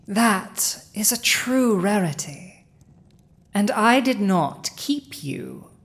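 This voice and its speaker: A woman playing a villainous dragon ruler in an intimate audio roleplay, speaking in a deliberate, dominant, teasing manner. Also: lowish voice, creaky, exaggerated voice.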